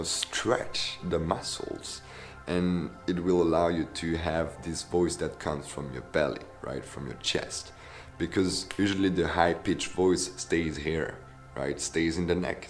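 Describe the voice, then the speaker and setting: A man with a very low, deep voice coaching viewers through a casual tutorial. He sounds enthusiastic and keeps checking in with a quick "yeah?". Faint music plays underneath. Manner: enthusiastic, tags sentences with "yeah?".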